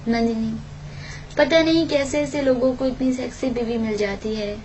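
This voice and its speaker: A woman voicing a seductive, flattering male lover in an audio story, on a noisy home-style recording. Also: fairly high pitch, normal speed, natural voice. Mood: seductive, admiring. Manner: seductive, complimentary. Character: flirtatious, admiring male lover.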